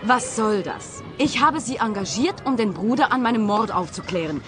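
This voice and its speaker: An actress playing an agitated, indignant teacher and demanding client in a radio play, curt, reproachful and precisely spoken. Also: female voice, a little high, lively intonation, normal speed, over music, natural voice. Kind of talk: radio crime play acting.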